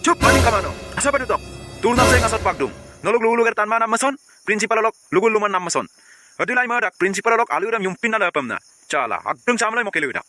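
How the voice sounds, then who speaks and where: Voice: medium pitch, expressive, quick pace, loud music behind the voice, close to an everyday voice. An actor voicing a scolding, exasperated schoolteacher in a cartoon comedy.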